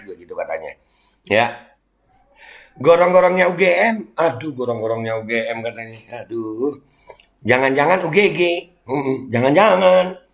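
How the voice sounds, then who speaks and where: A middle-aged man from Indonesia with a Jakarta Betawi accent, reacting to online comments in a mocking, heated, casual way, switching between low muttering and loud indignant outbursts. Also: expressive, normal speed, vocal fry. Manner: mocking, heated, laughs and sighs often. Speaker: commentator reacting to social media posts.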